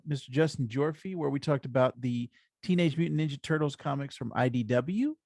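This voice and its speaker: A man with a slightly high voice and a gravelly creak hosts a casual podcast. He talks in an upbeat, encouraging way, and the sound is dry and close. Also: a little mellow, no echo. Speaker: podcast host. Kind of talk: casual comics podcast chat.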